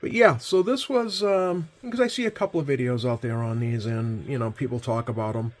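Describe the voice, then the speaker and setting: A man's voice: medium pitch, fairly quick. A man giving a casual, hands-on demonstration as he hosts at a radio workbench. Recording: clear background noise.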